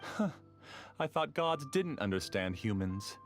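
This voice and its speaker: A man's voice: fairly low pitch, very animated, measured pace. An actor playing a self-righteous male villain who rules a dream world, theatrical and mocking in a video game. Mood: smug. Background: faint game music.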